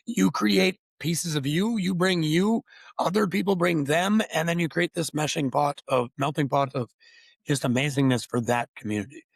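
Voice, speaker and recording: A man hosts with gushing enthusiasm and rambles as he goes. His voice sits a bit high, and the sound is dry and close, like a remote call. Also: normal speed, gravelly, dry recording, low-quality recording. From Upper Peninsula of Michigan, USA. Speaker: podcast host. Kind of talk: casual interview chat.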